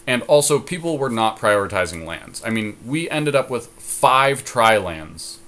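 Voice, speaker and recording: A man's voice: medium pitch, very animated, unhurried, bright, forceful tone. A man co-hosting a podcast, casually talking through his choices in a card game. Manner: crude joking. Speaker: podcast co-host. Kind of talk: casual game commentary while drafting a card deck. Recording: noticeable background noise.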